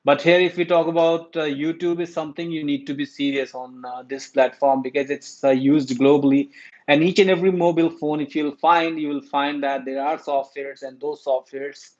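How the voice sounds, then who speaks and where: Hesitant Indian man, flat voice. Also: on the high side, normal speed. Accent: Indian English. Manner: hesitant, many "uh" fillers and restarts. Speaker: YouTube commentator. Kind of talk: casual talk to camera on current affairs. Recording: dull lo-fi recording.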